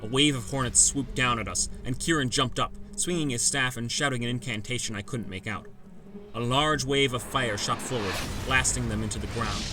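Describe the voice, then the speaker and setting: Male fantasy audiobook narrator over prominent music. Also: medium pitch. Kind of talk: dramatic scripted first-person fantasy narration. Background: background music.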